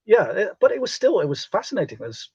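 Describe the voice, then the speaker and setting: Husky man chatting casually. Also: sits high, sing-song, quick pace, no echo, dull audio. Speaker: talk show panelist. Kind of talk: casual chat, explaining.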